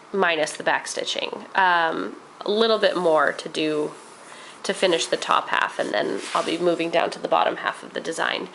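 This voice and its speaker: A woman's voice: lowish voice, vocal fry, fairly bright tone, slight background noise, poor audio. A young woman chatting enthusiastically about her crafts with lots of ums, a little nasal while getting over a cold. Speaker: crafting vlogger. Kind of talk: casual show-and-tell vlog.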